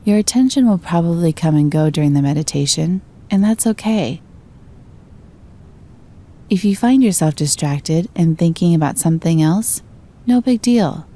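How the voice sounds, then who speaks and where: A woman gently guiding a meditation, giving slow, soothing instructions. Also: on the low side, expressive. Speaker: meditation guide. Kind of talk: guided meditation.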